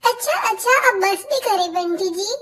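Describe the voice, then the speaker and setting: Very squeaky little female cartoon bird. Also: lively intonation, measured pace, bright, forceful tone, exaggerated voice. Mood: earnest, polite. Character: sweet, earnest young female bird, a dutiful wife. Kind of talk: Hindi cartoon dialogue.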